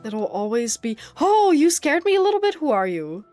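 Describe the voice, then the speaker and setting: Giggly rambling woman streamer, lively pitch. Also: normal speed, faint music behind. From Netherlands. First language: Dutch. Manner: rambling, giggly, many fillers. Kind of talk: casual chat while gaming.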